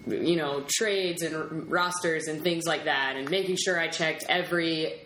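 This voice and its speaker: A chatty woman hosting a casual podcast interview, quick to laugh as she talks. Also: low voice, a bit bright. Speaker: podcast host. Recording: roomy echo, faint background noise.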